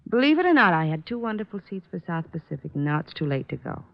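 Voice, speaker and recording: A woman's voice: fairly low pitch, sing-song, fast talker, gravelly. An actress with an American accent playing an affectionate, pouting girlfriend in a 1950s radio drama, coy and teasing in manner. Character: affectionate, pouting, playfully scolding adult girlfriend. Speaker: supporting actress. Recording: dull vintage radio recording, faint music.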